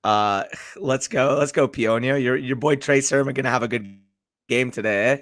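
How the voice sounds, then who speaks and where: Homem jovem rouco e brincalhão. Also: male voice, mid-range voice, normal speed, fairly bright tone, no room sound, studio quality. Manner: playful teasing, heavy slang. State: hoarse. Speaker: co-host. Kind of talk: casual livestream chat.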